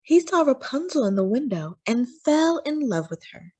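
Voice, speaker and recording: A woman's voice: high voice, sing-song, moderate pace, a little mellow, slightly rough. A woman reading a children's storybook aloud as narrator, phrasing for young listeners with dramatic emphasis.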